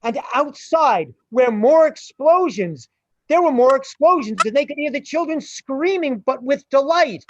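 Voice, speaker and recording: Casting: female radio play narrator, high voice, unhurried phrase-by-phrase reading, muffled recording. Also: animated, a little hiss or hum. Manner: deliberate, broken into short phrases. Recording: dull, dry, lo-fi sound.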